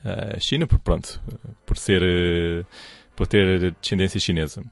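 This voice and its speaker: A man's voice: deep voice, steady tone, unhurried, creaky, dry recording. A young man with a European Portuguese accent, telling his story in a radio interview, hesitant and restarting often. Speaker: radio presenter, guest.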